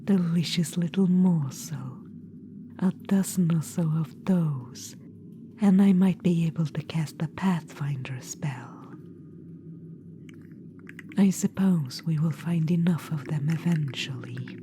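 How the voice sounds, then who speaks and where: A woman's voice: deep voice, slow, background noise. A woman voicing a vain, imperious undead witch queen in a whispered ASMR roleplay, teasing and condescending toward the listener. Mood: wistful. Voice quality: soft whispering.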